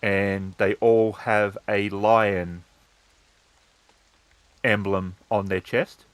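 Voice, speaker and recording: Male game master, unhurried measured narration, lower pitch, dry close sound, halting delivery. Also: level tone, clean tone, no room sound. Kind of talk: narrating a tabletop roleplaying game.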